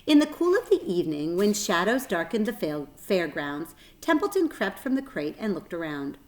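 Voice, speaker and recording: A woman's voice: fairly low pitch, big pitch swings, moderate pace. A woman reading a children's story aloud as narrator, with expression.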